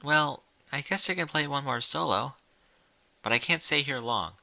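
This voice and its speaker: A man acting nervous lone mare, female pony, raised pitch, bright edgy tone, wary, storybook reading. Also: normal speed, creaky. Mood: wary, softening. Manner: nervous, challenging.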